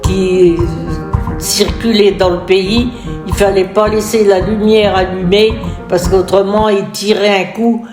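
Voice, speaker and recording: A woman's voice: mid-range voice, fairly even intonation, unhurried. A woman in her nineties, a retirement home resident, hesitantly recalling her past in an interview. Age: 90s. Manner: hesitant storytelling with fillers. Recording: roomy with music underneath.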